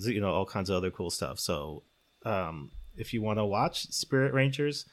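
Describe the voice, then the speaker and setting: Podcast host guy, lower voice, chatty, just recommending stuff. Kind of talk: casual podcast chat, giving recommendations.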